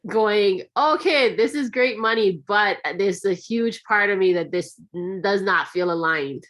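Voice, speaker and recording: A woman hosting an interview, chatty and encouraging, talking in long, quick runs. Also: medium pitch, a bit bright. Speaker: interview host. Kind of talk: casual interview conversation.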